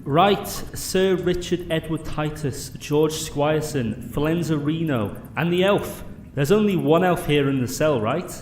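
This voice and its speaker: A bullying British guard captain acted by a man from a comic fantasy audio drama. He is blunt and crude in a high-pitched voice, with an echoey room sound around him. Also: a little slow, darkish tone, lightly acted. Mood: brusque. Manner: gruff, blunt, insulting. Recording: echoey room with faint background noise.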